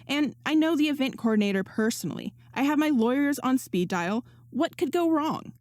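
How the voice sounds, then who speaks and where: A woman's voice: high-pitched, lively intonation, moderate pace, a little mellow, no room sound, studio quality, pitched above the performer's own voice. A woman voicing a confident, breezy young woman in a story, teasing and sure of herself. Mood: amused assurance. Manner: teasing, assured, sarcastic.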